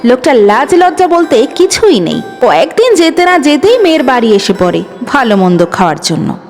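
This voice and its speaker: A woman's voice: high-pitched, big pitch swings, normal speed, darkish tone. A woman voicing a scornful, domineering older mother-in-law in an audio story, scolding with disdain. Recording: long reverb, music underneath.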